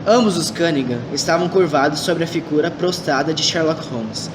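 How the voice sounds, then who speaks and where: A man's voice: on the high side, normal speed, natural voice. A man narrating a radio drama as the detective's companion, reading earnestly and steadily. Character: male companion narrating the case. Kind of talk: scripted storytelling narration. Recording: distant mic with loud noise.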